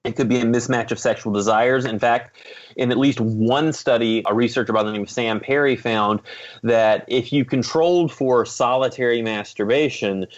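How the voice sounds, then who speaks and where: A man speaking as an expert guest, explaining in a measured, academic way with careful qualifications. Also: medium pitch, dull audio. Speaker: guest expert, psychology professor. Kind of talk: explanatory interview answers.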